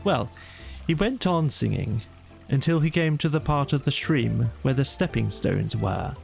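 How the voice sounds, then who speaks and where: Male narrator, bedtime storybook, soothing, slow, light music behind. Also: medium pitch, animated, gravelly, darkish tone.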